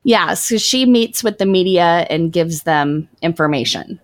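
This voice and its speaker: Woman co-host, low voice, dry close mic, reads articles aloud with a joking aside. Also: animated, unhurried, dry recording. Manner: reads article passages aloud, jokes about her own pronunciation. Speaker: podcast co-host. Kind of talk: casual podcast chat.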